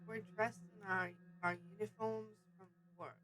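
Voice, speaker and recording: A woman's voice: medium pitch, fairly even intonation, moderate pace, pushed and bright, faint music behind, low-quality recording. A woman talking casually as a player in a tabletop role-playing game. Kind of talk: casual chat. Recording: background noise with clicks.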